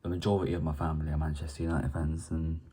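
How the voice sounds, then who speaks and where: A man's voice: a bass voice, little pitch movement, very fast, warm tone, breathy edge. A young man from Ireland hosting a casual football match preview, rambling and often restarting his words. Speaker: football fan channel host.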